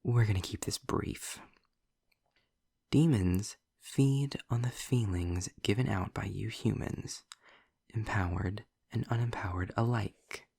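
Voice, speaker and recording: A man's voice: slow, a little mellow, no echo, full character voice. A man playing a snarky, impatient male demon in an audio roleplay, speaking to the listener with theatrical, sardonic condescension. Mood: exasperated, sardonic. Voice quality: low, gravelly, husky with breathy drops. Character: snarky, impatient male demon trapped in his own hell.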